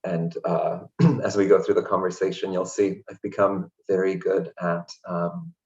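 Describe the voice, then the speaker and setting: A man from Hungary, a guest in an interview, speaking in a relaxed, chatty way with many fillers over a video call. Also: low-pitched, normal speed, lo-fi sound. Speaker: interview guest, language access programs director. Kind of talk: casual interview conversation.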